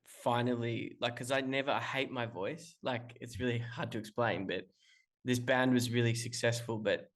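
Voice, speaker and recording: Male musician guest on a call, rambling and hesitant, mid-pitched everyday voice. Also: fairly even intonation, moderate pace. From Australia. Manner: rambling, hesitant, many restarts and fillers. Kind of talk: casual interview talk. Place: calling in remotely.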